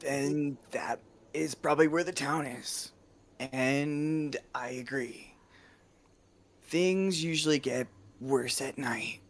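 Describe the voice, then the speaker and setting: A man's voice: medium pitch, slow pace, a little hiss or hum. A man talking casually as a player in a tabletop roleplaying game.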